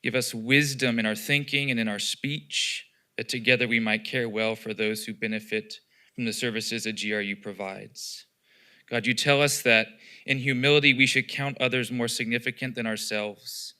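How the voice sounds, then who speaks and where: A pastor, a man, giving an earnest, measured invocation at a public meeting. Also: medium pitch, fairly even intonation, pushed and bright, smooth. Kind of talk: public prayer.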